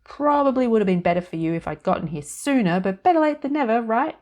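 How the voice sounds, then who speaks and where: A woman's voice: fairly high pitch, animated, fast talker, a little mellow, voice pushed up, lightly acted. A woman voicing a tiny, cheerful, scatterbrained older fairy godmother in a storybook reading, breezy and chatty.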